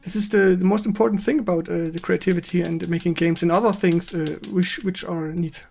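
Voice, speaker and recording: A woman with a German accent and German as first language, hosting a casual livestream interview in halting, giggly English as she searches for words. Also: on the low side, fairly even intonation, vocal fry, a little mellow. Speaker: stream host.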